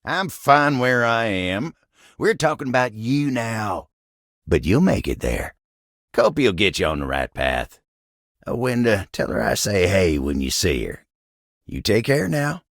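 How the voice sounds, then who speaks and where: Deep, gravelly folksy cowboy table. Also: male voice, big pitch swings, a little slow, a bit bright, no room sound, studio quality, full character voice. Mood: bashful, easygoing. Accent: American, folksy cowboy drawl. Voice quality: low, gravelly. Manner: folksy, drawling, friendly, reassuring. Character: folksy, kindly, earnest living table with cowboy air. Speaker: voice actor. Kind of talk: video game character dialogue.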